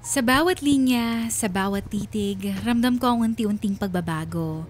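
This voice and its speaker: A woman's voice: fairly high pitch, normal speed, faint music behind, natural voice. An actress playing a homesick wife who narrates her story with sorrow in a radio drama. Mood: troubled, sad. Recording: faint background noise.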